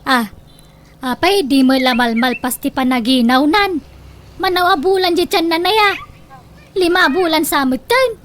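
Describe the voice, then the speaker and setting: A woman's voice: high-pitched, animated, a little slow, long pauses, fairly bright tone, a little hiss or hum. An actress playing a fussy, complaining woman in an Ilocano comedy radio drama.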